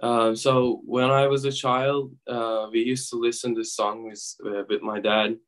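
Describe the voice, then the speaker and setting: A young man from Turkey, a guest musician, answering interview questions softly with many fillers and pauses. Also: mid-range voice, little pitch movement. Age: young adult. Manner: soft-spoken, full of fillers and pauses.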